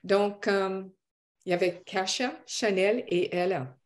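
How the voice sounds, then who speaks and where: A woman interviewing guests for a church broadcast, asking questions gently with hesitation fillers. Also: medium pitch, slow.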